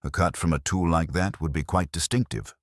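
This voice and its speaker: A man voicing a quiet, efficient, precise investigator in a mystery audiobook, clinical in manner. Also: low-pitched, fairly quick, no echo, studio quality. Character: quiet, efficient police colleague, precise observer.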